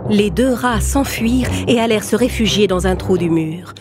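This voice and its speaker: A woman narrates a children's fable in a scripted storybook style. Her voice sits at a middle pitch, with music clearly playing behind it. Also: moderate pace.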